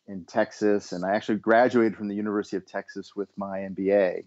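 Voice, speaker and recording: A middle-aged American man from Los Angeles, answering interview questions as a consultant in a measured way with hesitant restarts. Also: lowish voice, little pitch movement, darkish tone. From Los Angeles, USA. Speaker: guest, education consultant. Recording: dull lo-fi sound.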